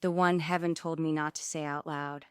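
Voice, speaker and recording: A woman's voice: low-pitched, level tone, moderate pace, smooth, dry recording, clean studio sound, close to an everyday voice. A woman playing a grand, meddling romantic lady, dramatic and playful, in a comic stage play table read. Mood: yearning.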